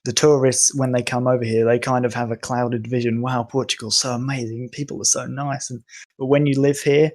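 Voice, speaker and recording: A man's voice: medium pitch, little pitch movement, brisk, a little mellow. A young man from England, a podcast guest, talking casually and hesitantly with many ums and restarts. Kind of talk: casual conversation.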